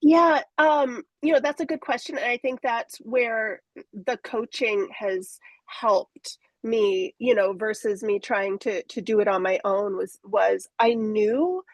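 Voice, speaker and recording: A woman in her forties speaking candidly in an interview, reflective and halting, with many fillers and restarts. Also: fairly high pitch, no room sound. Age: 40s. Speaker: coaching client.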